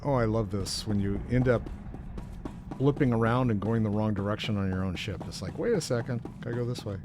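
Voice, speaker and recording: A man's voice: lowish voice, fairly quick, over music. A man chatting casually as he plays a video game on a live stream, narrating what he does. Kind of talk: casual live gameplay chat. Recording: stream with clear background noise.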